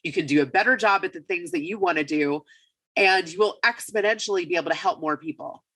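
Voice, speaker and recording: A woman with a raspy voice from a lingering cold, talking as a podcast guest. Her voice sits low, but her tone is bright and forceful. She sounds upbeat and leans hard on her points. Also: fast talker. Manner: upbeat and emphatic. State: getting over a cold. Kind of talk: casual interview talk.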